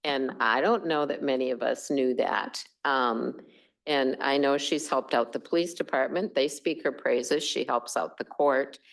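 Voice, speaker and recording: A woman chairs a village board meeting with a brisk, upbeat manner. Her voice sits very low and has a gravelly texture throughout. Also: poor audio.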